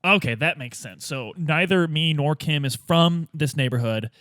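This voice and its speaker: A man's voice: on the high side, normal speed, a bit bright, no room sound, clean studio sound. A man chatting casually as a player in an improvised tabletop role-play, often exclamatory and incredulous.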